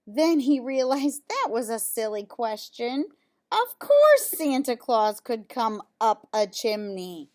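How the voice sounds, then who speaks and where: A woman reading a children's picture book aloud, pausing for casual asides and questions to young listeners. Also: fairly high pitch, big pitch swings, a little mellow. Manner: pauses to comment on pictures and ask listeners questions.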